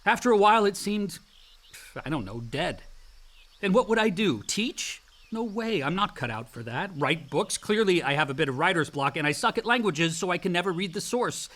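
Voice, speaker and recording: Exuberant, higher-voiced young man. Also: very animated, normal speed, a bit bright. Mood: earnest, self-doubting. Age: young adult. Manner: casual, effusive, slangy. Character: exuberant, outdoorsy grown son, self-doubting dropout scholar. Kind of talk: naturalistic radio drama acting.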